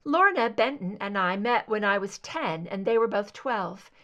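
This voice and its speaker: A woman voicing a skeptical, guarded female investigator in an audiobook, measured and matter-of-fact. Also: mid-range voice, animated, a bit bright, lo-fi sound. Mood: candid, reminiscing. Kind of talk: fan fiction audiobook dialogue.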